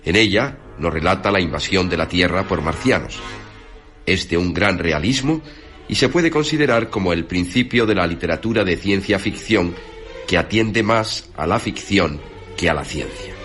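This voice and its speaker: A man's voice: deep voice, moderate pace, a bit bright, soft background music. A man reading scripted literary commentary as a radio narrator.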